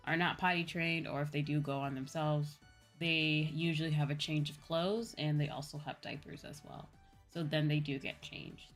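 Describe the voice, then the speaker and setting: Woman streamer, notably low voice, casual conversational explainer who circles back on her points, music playing underneath. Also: a bit bright. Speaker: streamer, VTuber host chatting with viewers. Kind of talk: casual livestream chat. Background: background music.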